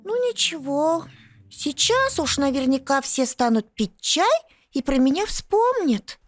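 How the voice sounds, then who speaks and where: A woman voicing a tiny girlish teaspoon, childlike and expressive, in a bedtime fairy tale. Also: sits high, measured pace. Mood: hopeful. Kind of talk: bedtime puppet-theatre fairy tale acting.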